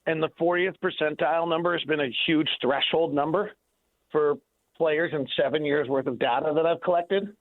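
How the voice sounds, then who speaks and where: Guy talking sports stats over a phone line, kinda high voice, argues his point hard and repeats it. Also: steady tone, normal speed. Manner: emphatic, repeats himself for stress, argumentative. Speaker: sports analyst guest. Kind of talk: radio sports analysis, conversational.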